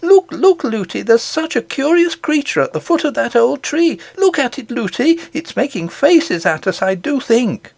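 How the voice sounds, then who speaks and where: A man voicing an excited, chattering little princess girl in a storybook reading, speaking high, breathless and full of eager questions. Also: measured pace, vocal fry, hardly any pauses, no room sound, full character voice.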